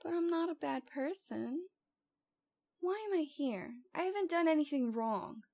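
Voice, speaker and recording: A woman's voice: high-pitched, expressive, unhurried, gravelly, a little mellow, heavily acted. A woman playing a cute, lovesick young stalker girl in an ASMR roleplay, with a coy, pouty, dramatic delivery. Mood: coy, pouting.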